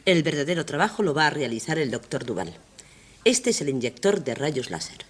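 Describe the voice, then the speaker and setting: Female expedition doctor, lively melodic range, earnest, awed, expressive, radio drama. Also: low voice, brisk, slightly rough, heavily acted.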